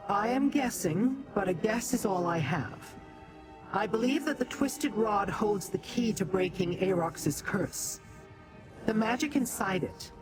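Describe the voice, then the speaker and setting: An actor voicing a solemn, urgent mystic scholar in a fantasy video game, grave and deliberate. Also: medium pitch, low-quality recording.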